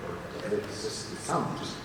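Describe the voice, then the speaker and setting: A man in the audience asking the panel a question in a hesitant way, with many pauses and "um"s. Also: over a noisy crowd. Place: large convention hall. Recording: echoey hall, heard from far off, background noise.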